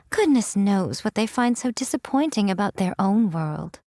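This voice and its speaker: A woman's voice: mid-range voice, darkish tone, no room sound, studio quality. A woman voicing a sly, ageless fox spirit shrine maiden in a game, with a teasing, languid, theatrical and self-assured manner. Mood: bored, haughty. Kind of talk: scripted game character voice lines.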